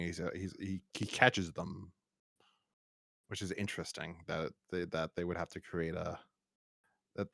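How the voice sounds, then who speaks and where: A man hosting a podcast in a casual review chat, rambling and halting with repeated false starts. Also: low-pitched, dry recording, studio quality. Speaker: podcast host.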